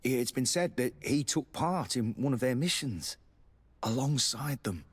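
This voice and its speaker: An actor playing a wary, grave military lieutenant with a husky voice in a video game, speaking in a secretive, measured way. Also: male voice, mid-range voice, animated. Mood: grave, confiding. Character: guarded, wary male military lieutenant instructor. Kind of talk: video game character acting.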